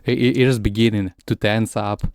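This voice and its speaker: A man's voice: on the low side, steady tone, fairly quick, darkish tone, smooth, no room sound, clean studio sound. A man whose first language is Russian, chatting casually while streaming a game, often stumbling and repeating words. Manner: switches between English and Russian. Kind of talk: casual gaming stream chat.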